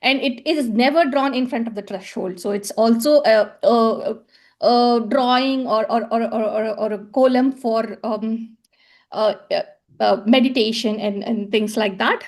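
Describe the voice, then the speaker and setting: A woman's voice: fairly high pitch, a little slow. A woman from South India, giving an academic presentation with slides, hesitant and full of fillers and repeated words. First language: Tamil. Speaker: conference presenter.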